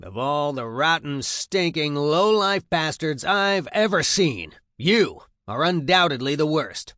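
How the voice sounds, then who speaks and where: A man voicing a furious, contemptuous Marine drill sergeant in a memoir, barking gruff, foul-mouthed orders. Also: a little high, sing-song, slow pace, dry recording, studio quality, voice pushed up, full character voice. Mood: disgusted, contemptuous. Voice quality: loud, gruff. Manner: barking, berating commands.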